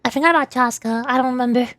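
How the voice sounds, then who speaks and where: A woman's voice: high-pitched, rapid-fire, a bit bright, no room sound. A woman streaming a game, chatting casually and often wondering aloud in questions. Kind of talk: casual livestream chat and reading game dialogue aloud.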